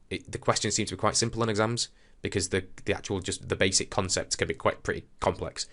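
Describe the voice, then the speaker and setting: A man with a low voice gives an informal science lesson. He explains things conversationally, as a teacher does when thinking aloud through a demonstration. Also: fairly bright tone.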